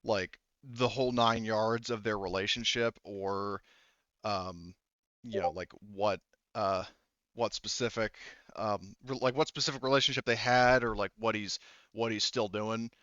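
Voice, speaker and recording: A man narrating a tabletop game casually as game master, in a halting, rambling way. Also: on the low side, fairly even intonation, a bit bright, no echo, muffled recording.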